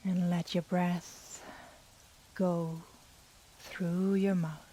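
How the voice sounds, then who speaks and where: A woman guiding a yoga nidra meditation in a soft, breathy, soothing manner with long pauses. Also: fairly low pitch, level tone. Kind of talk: guided meditation.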